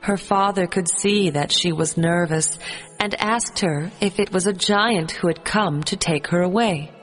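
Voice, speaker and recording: A woman narrating a children's fairy tale as a storyteller, in a measured storybook reading. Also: on the low side, long reverb tail, faint music behind, low-quality recording.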